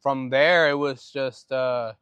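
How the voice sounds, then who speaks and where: A man from Oceanside, California, talking as a podcast guest in a reflective, halting, soft-spoken way, with frequent restarts. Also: medium pitch, steady tone, clear, resonant tone, no echo, lo-fi sound. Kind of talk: casual podcast conversation.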